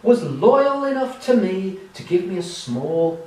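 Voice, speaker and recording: A man's voice: high voice, measured pace, echoey room, distant mic, voice pushed up, low-quality recording. A man doing a cold, menacing guard captain. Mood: sly. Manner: matter-of-fact, calmly threatening.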